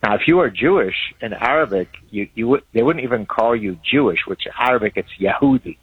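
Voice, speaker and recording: A man's voice: medium pitch, animated, a little fast, husky, dry recording, phone-quality sound. A man in his sixties from Lebanon, a professor and author explaining his ideas as a radio guest. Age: 60s. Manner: articulate, lecturing explanations. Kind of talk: radio interview.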